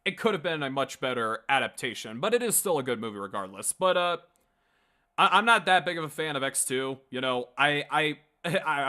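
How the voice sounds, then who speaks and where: Guy livestreaming his fan opinions, kinda high voice, really up and down, blunt and sweary. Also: bright, forceful tone. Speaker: livestream host. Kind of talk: casual opinionated fan commentary to a live chat.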